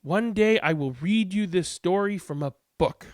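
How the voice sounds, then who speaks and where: A man voicing a proud, gentle elderly grandmother from a storybook in earnest, simple speech. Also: sits high, expressive, measured pace, dry recording, clean studio sound, pitched above the performer's own voice. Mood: hopeful.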